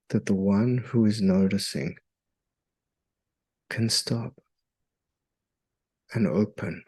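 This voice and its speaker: A man leading a guided meditation in a soothing, hypnotic, measured way with long pauses. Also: lowish voice, warm tone, husky.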